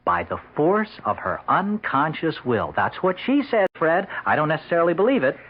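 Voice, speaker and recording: A man's voice: fairly high pitch, very animated, moderate pace, background noise. An actor in his forties playing a shy, lovestruck forty-year-old bachelor in a radio drama, bashful and stammering. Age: 40s. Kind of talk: 1970s radio drama acting. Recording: dull old radio broadcast.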